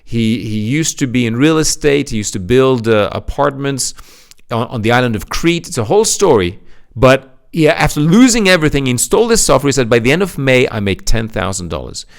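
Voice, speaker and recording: A man's voice: medium pitch, very animated, fairly quick, gravelly. A man giving a motivational sales talk, pressing his points with emphatic swings. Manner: emphatic, rising and falling for effect. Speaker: speaker presenting to an audience.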